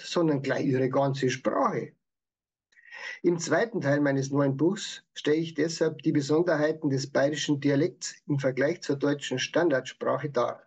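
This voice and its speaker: A man's voice: on the high side, darkish tone, breathy edge. A man with a Bavarian accent, explaining things in prepared answers as an author being interviewed. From Bavaria, Germany. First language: Bavarian dialect.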